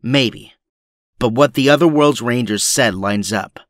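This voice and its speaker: A man's voice: mid-range voice, a little slow, no room sound, studio quality, close to an everyday voice. A man playing a weary but firm resistance leader in an audio drama. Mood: pleading, hopeful.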